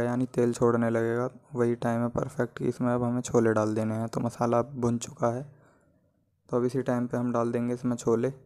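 Man with very flat pitch, cooking tutorial. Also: smooth. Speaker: home cook presenting a recipe. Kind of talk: step-by-step cooking tutorial voice-over.

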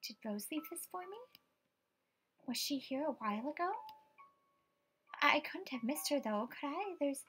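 A woman's voice: sits high, expressive, moderate pace, long pauses, a bit bright, a little hiss or hum, pitched above the performer's own voice. A woman voicing a frightened, superstitious young estate agent in a horror game, with a dramatic, tremulous delivery. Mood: uneasy, hushed. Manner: dramatic, tremulous, breathy asides, outbursts. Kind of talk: horror visual novel voice acting.

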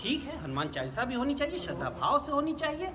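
A man's voice: very high voice, wildly swooping pitch, fast talker, crowd noise. A middle-aged man, a party spokesman, exhorting listeners in repeated, parallel phrases. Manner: exhorting, repetitive cadence. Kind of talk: interview statement to a reporter. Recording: clearly noisy background.

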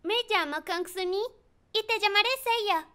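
A woman's voice: very high-pitched, measured pace, pushed and bright, exaggerated voice. A woman voicing a sweet, curious preschool girl in a children's cartoon, childlike and full of exclamations. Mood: delighted. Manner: childlike, wide-eyed, exclaiming. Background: music.

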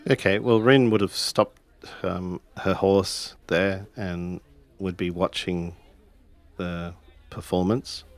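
Slow-talking man playing a tabletop game. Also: low voice, fairly even intonation. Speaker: player in a tabletop roleplaying game. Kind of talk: third-person narration of own character's actions.

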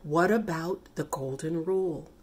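A woman's voice: sits low, unhurried, darkish tone, husky. A woman voicing a curious, earnest little girl asking questions in a storybook. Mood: earnest, troubled.

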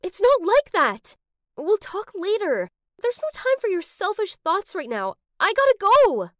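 Woman as an emotional, high-voiced teenage girl. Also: animated, unhurried, a little mellow, dry recording, clean studio sound. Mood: frantic, defensive. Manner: urgent, pleading, dramatic. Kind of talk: dramatic story dialogue.

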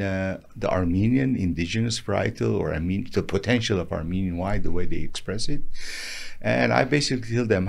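A middle-aged man with a low voice that often breaks into fry. He answers interview questions conversationally, with emphasis and self-assurance. He is recorded close up in a small padded studio. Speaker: guest, entrepreneur and winemaker. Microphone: close studio microphone on arm, headphones.

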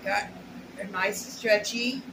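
A woman's voice: mid-range voice, fairly even intonation, measured pace, creaky. A middle-aged woman chatting casually and plainly to camera, rambling in short bursts as she shows her shopping. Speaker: shopping haul vlogger. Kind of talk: casual unboxing chat to camera. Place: small plain indoor room at home. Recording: roomy echo, noisy home recording.

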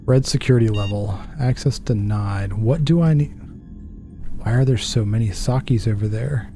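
Casual guy in his 30s narrating a spooky game, mellow voice, soft music behind, self-mocking and jumpy. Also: moderate pace. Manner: chatty, self-mocking, jumpy pitch swings. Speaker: gaming channel host. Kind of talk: horror game let's play commentary. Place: small dark room at a desk. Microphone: headset with desk mic.

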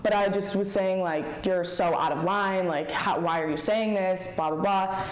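A woman's voice: fairly low pitch, fairly even intonation, normal speed. A young woman with an American accent giving a casual interview account in a small room. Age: young adult. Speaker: interviewee, college cheerleader. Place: small indoor room with plain walls. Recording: echoey small bare room.

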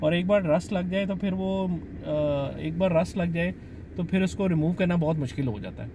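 A middle-aged man from Pakistan, chatting casually in his vlog as he explains things slowly and keeps addressing the viewer politely. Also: high voice, background noise. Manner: chatty, explains slowly, says "janab" often. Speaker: vlogger dad. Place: outdoors at a car wash and in a car.

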